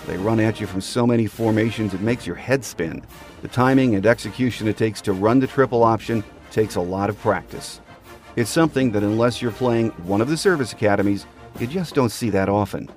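A man's voice: lowish voice, darkish tone. A man hosting a sports podcast with scripted commentary and guest introductions.

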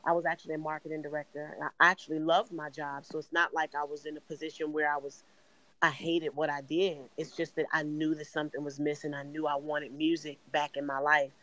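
A raspy middle-aged woman from Chicago speaks in an earnest, measured way as a podcast guest. Her pitch stays level and even, with little rise or fall. She tells her story steadily and without hurry. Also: rough recording. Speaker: interview guest, singer. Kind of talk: podcast interview.

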